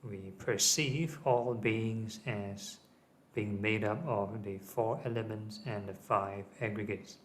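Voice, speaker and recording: Low-voiced man guiding meditation, background noise. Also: steady tone, slow. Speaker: meditation teacher. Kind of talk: guided meditation instruction. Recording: clearly audible ambient background noise.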